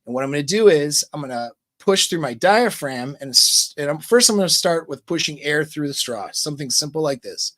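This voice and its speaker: A man's voice: brisk, hardly any pauses, no room sound. Casual man coaching on a dry mic. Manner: demonstrates voice pitch changes, relaxed and chatty. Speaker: dating coach. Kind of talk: casual livestream coaching talk. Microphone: close microphone.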